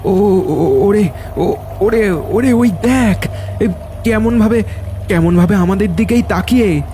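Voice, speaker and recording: A man's voice: high-pitched, takes it slowly, warm tone, slight background noise, pitched above the performer's own voice. A man voicing a frightened village fisherman in a ghost cartoon, stammering, breathless and insistent. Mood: terrified.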